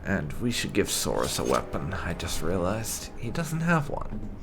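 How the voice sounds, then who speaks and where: A man hosts a casual game playthrough in a low voice with plenty of creak. His pitch swings widely as he talks through his choices, and there is faint music behind him. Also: huge pitch swings, unhurried. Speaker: gaming channel host.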